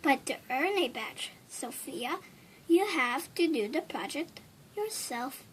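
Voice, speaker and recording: A woman's voice: sits high, animated, slow pace, a bit bright, exaggerated voice. A woman voicing an encouraging, instructive female troop leader in a children's storybook.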